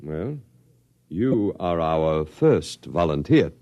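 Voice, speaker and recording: An actor playing a calm, coolly reasonable elderly alien archivist in a 1950s radio drama. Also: male voice, sits low, slow, no room sound, dull audio, heavily acted.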